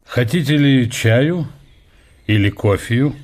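An actor playing a hospitable, reserved Russian scholar who speaks in a measured, courteous way in a radio drama. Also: male voice, mid-range voice, expressive, darkish tone.

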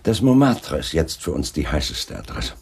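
An actor playing a wry, unflappable Scotland Yard chief inspector with dry irony in a German crime radio play. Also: male voice, very deep voice, sing-song, normal speed, vocal fry, husky, full character voice. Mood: businesslike.

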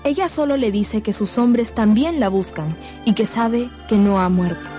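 A woman's voice: on the high side, unhurried, music in the background. A woman narrator delivering dramatic scripted narration for a radio drama.

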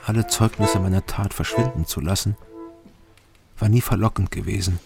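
Loud instrumental music surrounds a low male voice: a tormented middle-aged ex-border guard in a radio play. He speaks his inner monologue in subdued, weighty short phrases, with a gravelly creak. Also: moderate pace. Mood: haunted, brooding. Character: haunted East German man, a tormented former border guard.

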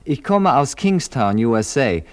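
An actor playing a curious, courteous tourist in a radio drama. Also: male voice, medium pitch, very animated, unhurried. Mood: politely curious. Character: curious, courteous American male tourist marvelling at mountains.

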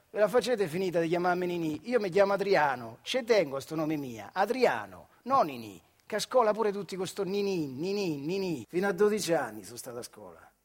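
A male actor with a Marche accent from central Italy playing Adriano, an earthy, wry factory worker who tells his memories in a colloquial theatrical monologue. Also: high-pitched. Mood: indignant. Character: Adriano, working-class old factory man telling his childhood memories. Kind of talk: theatrical monologue storytelling in dialect-tinged speech.

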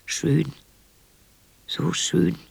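An actress in a radio drama playing a wistful, lonely motherly figure, speaking in a husky, breathy half-whisper and short, intimate, incantatory phrases. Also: low-pitched, steady tone, extremely slow, darkish tone, no room sound, exaggerated voice, low-quality recording. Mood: tender, nostalgic.

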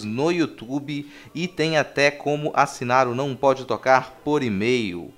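A man with a Brazilian accent hosting a podcast, talking in a casual, conversational style. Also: medium pitch. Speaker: podcast host.

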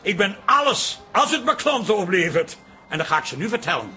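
Grumbling café owner, animated intonation, forceful tone, blunt, radio comedy-drama. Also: male voice, high-pitched, a little fast, faint music behind, exaggerated voice. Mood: boastful, insistent. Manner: exasperated, blustering, blunt. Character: grumbling, self-serving male café owner, exasperated son-in-law.

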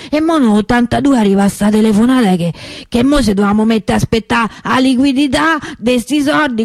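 Actress for indignant working-class Roman woman, chatty street vendor in radio theatre; slightly high voice, colloquial and rambling, very dry sound. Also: no echo, close to an everyday voice. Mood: confiding, aggrieved. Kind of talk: theatrical monologue, colloquial storytelling.

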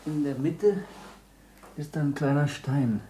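A man's voice: fairly high pitch, slow, warm tone. A male actor playing an earnest, curious boy pupil in a 1960s German radio drama, speaking in a plain, questioning way. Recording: faintly noisy old radio recording.

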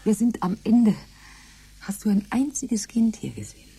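An actress playing a yearning, desperate but tender colonist in a radio drama, speaking intimately, often half-whispering. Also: female voice, mid-range voice, very animated, normal speed, warm tone, breathy edge, no room sound, exaggerated voice. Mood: desperate, insistent. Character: yearning, desperate colonist woman, tender yet stubborn dreamer. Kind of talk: German radio drama acting.

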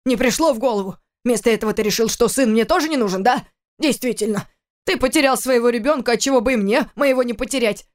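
Woman doing an angry older dad in an audiobook, stern n grieving, forceful scolding full of pointed questions. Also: very high-pitched, quick pace, voice pushed up. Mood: angry, insistent. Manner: forceful, reproachful, rhetorical questions.

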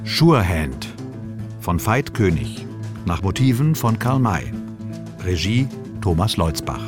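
A man with a very deep voice announces radio drama credits. He speaks slowly and dramatically over loud guitar music. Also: big pitch swings.

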